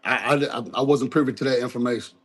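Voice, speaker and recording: A rapper, talking in a casual interview, halting with many fillers and restarts. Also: male voice, fairly even intonation. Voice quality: deep voice. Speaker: guest, rapper.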